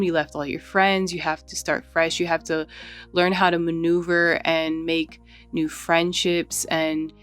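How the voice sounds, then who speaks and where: A woman chatting casually while she draws, relaxed and rambling, often saying "like" and "you know". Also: fairly low pitch. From United States. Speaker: art channel host. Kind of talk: casual chat while drawing.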